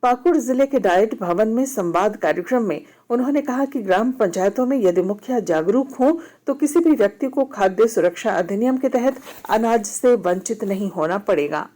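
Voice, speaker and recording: An Indian woman reads a formal news bulletin for radio. Her voice sits at a middle pitch and has a soft, mellow tone. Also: lively intonation, moderate pace, hardly any pauses.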